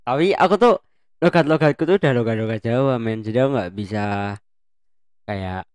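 A man's voice: mid-range voice, expressive, moderate pace, a little mellow, smooth, no room sound. Playful young man chatting, dry mic. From Bandung, Indonesia. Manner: playful, laughs often, slangy. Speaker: co-host. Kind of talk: casual podcast chat.

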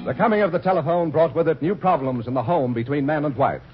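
A man's voice: fairly high pitch, fast, loud music behind the voice. A man announcing scripted sketches on a 1940s radio comedy show, formal and mock-serious. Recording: dull old radio broadcast.

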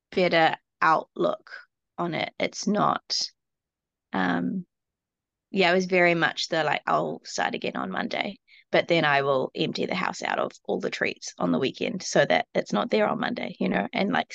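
A woman's voice: on the low side, vocal fry, fairly bright tone, dry recording. Coaching client, woman with a Kiwi accent, candid and rambling, slightly dull phone sound. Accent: New Zealand. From New Zealand. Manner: rambling and candid, with frequent ums and laughs. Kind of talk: casual group call.

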